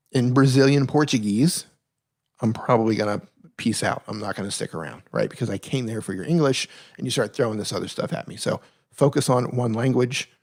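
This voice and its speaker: Man, middle-pitched voice, live-stream host, casual chat, direct advice, self-correcting. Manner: reads viewer questions aloud, then answers conversationally.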